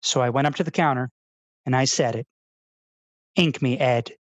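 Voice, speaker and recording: A man playing an earnest, insecure public-radio essayist with a precise, soft, overly sincere delivery in a radio comedy. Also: mid-range voice, moderate pace, lightly acted. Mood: wistful solemn. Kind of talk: confessional public-radio narration parody.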